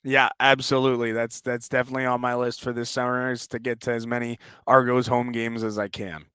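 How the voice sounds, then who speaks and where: A man's voice: mid-range voice, flat delivery, fairly quick, pushed and bright, clean tone, no echo, clean studio sound. A man, a sports announcer talking as an interview guest. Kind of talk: interview talk.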